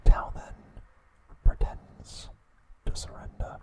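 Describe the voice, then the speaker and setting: A man narrating an episode recap as an ASMR host, speaking deliberately in short phrases with pauses.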